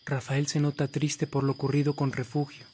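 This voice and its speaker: An actor as the storyteller of a radio drama, delivering scripted dramatic narration. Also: male voice, on the high side, monotone, fairly quick, a little mellow, slight background noise, close to an everyday voice. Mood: narrating.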